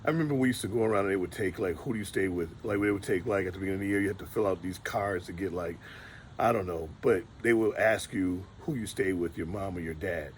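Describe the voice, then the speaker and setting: A middle-aged man speaks in a very low, deep register. He talks in a relaxed, rambling vlog style, stopping to restart his sentences as he remembers. A faint ambient hum sits behind him. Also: fast talker. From Detroit, USA. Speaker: vlogger. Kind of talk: casual storytelling monologue.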